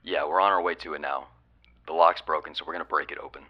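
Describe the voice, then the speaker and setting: A man playing a gruff, wisecracking police lieutenant leading a search in an audio drama. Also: a bass voice, fairly even intonation, quick pace, dull audio. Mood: businesslike. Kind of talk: radio-call audio drama acting.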